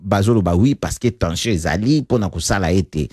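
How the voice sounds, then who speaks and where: A man's voice: low-pitched, lively intonation, fairly quick, darkish tone, slightly rough, no echo, studio quality. A man with a Congolese accent giving emphatic political commentary as a radio guest, repeating words and asking rhetorical questions.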